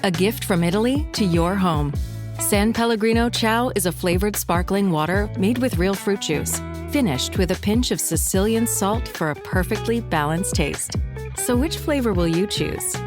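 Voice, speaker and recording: A woman's voice: mid-range voice, moderate pace. A woman reading a scripted advertisement as its announcer. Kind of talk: scripted ad read. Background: guitar music.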